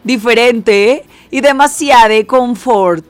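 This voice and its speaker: A woman's voice: on the high side, slow, dry recording. A woman hosting a radio segment in a studio, bubbly and reading out a list with playful emphasis. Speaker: radio host.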